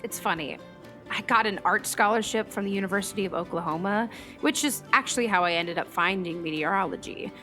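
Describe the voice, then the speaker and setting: A woman's voice: medium pitch, a little fast, pushed and bright, faint music behind, lightly acted. A woman acting in a radio play as a thoughtful meteorologist, speaking in a natural, conversational storytelling way. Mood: wistful, open. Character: thoughtful female meteorologist and hobby comic illustrator.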